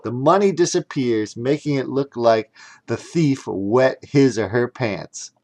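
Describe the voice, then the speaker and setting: A man's voice: mid-range voice, measured pace, darkish tone. A man narrating a children's audiobook in a theatrical, playful storytelling manner. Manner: theatrical, with playful sound words. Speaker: audiobook narrator reading his own story.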